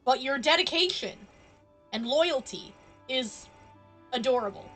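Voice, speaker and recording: A woman's voice: high-pitched, lively intonation, measured pace, a bit bright, faint music behind. A woman live-streaming a video game, giving casual commentary with playful, teasing reactions.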